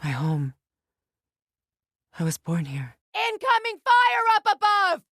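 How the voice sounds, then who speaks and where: A woman's voice: very high voice, huge pitch swings, slow pace, a bit bright, no room sound, heavily acted. A proud, middle-aged Norse goddess from a video game. She speaks as a battle companion with formal, grave diction. The recording is close and dry, with very little room sound. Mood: urgent battle shouting.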